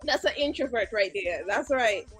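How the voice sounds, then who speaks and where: Animated high-voiced Jamaican woman host. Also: moderate pace, husky, soft background music. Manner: animated, laughing often. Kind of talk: casual live-stream interview.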